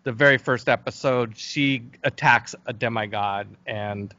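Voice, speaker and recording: Male guest, average pitch. Conversational, feels his way through answers. Dull, low-fidelity recording. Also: bright, forceful tone. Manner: hesitant, halting, thinking aloud. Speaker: interview guest, game world creator. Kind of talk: casual interview conversation. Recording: dull, lo-fi call audio.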